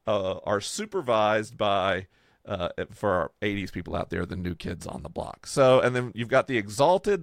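A man's voice: on the low side, vocal fry, no echo, studio quality. A man explaining a fictional world in a casual, enthusiastic discussion as a host and creator.

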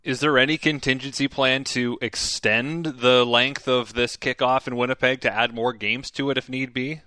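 A man's voice: mid-range voice, steady tone, moderate pace, pushed and bright, no echo, clean studio sound. A man hosting a radio sports show, asking questions in a conversational way and signing off the show. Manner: conversational, upbeat sign-off.

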